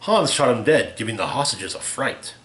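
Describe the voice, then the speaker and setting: A man reading a rhyming holiday parody aloud as a storyteller, with theatrical, deliberate delivery. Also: mid-range voice, big pitch swings, a bit bright. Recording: roomy, echoey home recording.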